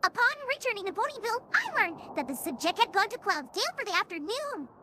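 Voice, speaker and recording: A woman's voice: very high voice, very animated, fast, pushed and bright, exaggerated voice. A synthetic female voice playing a bubbly young cartoon pony detective in a fan audio drama. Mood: dry, exasperated. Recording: clear background noise, clicks.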